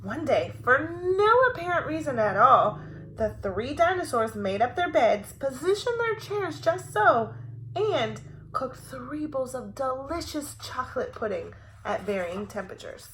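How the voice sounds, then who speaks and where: Very animated, high-pitched woman reading a storybook. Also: measured pace, a little hiss or hum, low-quality recording. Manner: expressive, playfully wry delivery. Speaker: teacher reading aloud to preschoolers. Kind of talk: animated picture-book read-aloud for young children.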